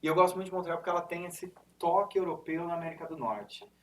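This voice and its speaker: A man's voice: fairly high pitch, fast, a bit bright. A man in his thirties with an interior São Paulo accent, giving an informal presentation to students in a lecture room, chatty and full of fillers and self-corrections. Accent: interior São Paulo (caipira R). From Brazil, interior of São Paulo state. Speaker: university representative giving a presentation. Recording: distant mic, faint room noise.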